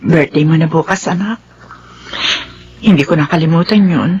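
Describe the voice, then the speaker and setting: A woman's voice: low voice, moderate pace, darkish tone, highs cut off, slight background noise, natural voice. An actress playing a loving, wounded middle-aged mother in a Filipino radio drama, speaking with melodramatic emotion. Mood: tender, hesitant.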